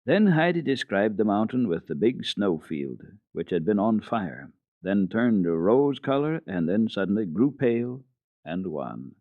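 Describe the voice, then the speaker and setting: A man giving an expressive dramatic reading of a children's classic as a radio storyteller, from an old radio recording. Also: fairly low pitch, measured pace, no echo, phone-quality sound.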